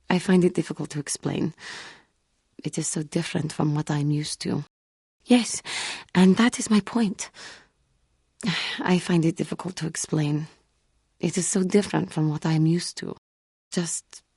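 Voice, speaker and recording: A low, dark female voice for a feline alien warrior monk in a video game. She is solemn and proud with old wounds underneath, choosing formal words in a guarded, earnest manner. The tone is husky and close. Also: no echo, heavily acted. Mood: grateful, earnest. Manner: formal, measured, guarded, earnest, avoids contractions. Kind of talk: video game character dialogue.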